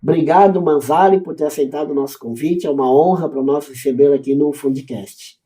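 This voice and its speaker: A man's voice: fairly high pitch, fairly quick, mellow, breathy edge. A man with a Brazilian accent hosting a podcast interview, polite and asking questions, murmuring agreement while his guest talks. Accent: Brazilian Portuguese. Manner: polite, frequent affirming murmurs. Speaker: podcast host.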